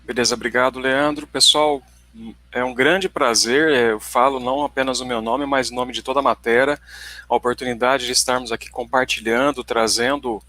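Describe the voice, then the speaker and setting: A man's voice: medium pitch, fairly even intonation, normal speed, pushed and bright, no room sound. A man in his forties with a Paraná Brazilian accent, giving a conversational company presentation in a tech webinar and often checking in with his listeners. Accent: Paraná Brazilian Portuguese. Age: 40s.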